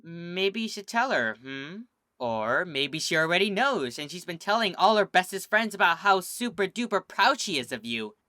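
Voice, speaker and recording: A man's voice: high-pitched, very animated, normal speed, bright, forceful tone, no echo, studio quality, pitched above the performer's own voice, exaggerated voice. A man voicing a bubbly, cheerful female cartoon pony with a raised, playful voice. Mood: cheery. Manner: cheery, playful, secretive whisper.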